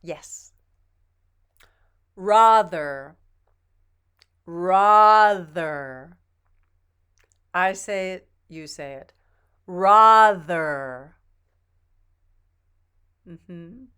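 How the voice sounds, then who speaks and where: Woman, pronunciation teacher, patient drill, very slow, crisp voice. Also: fairly low pitch, a bit bright. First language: English. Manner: models each word twice, patient and encouraging.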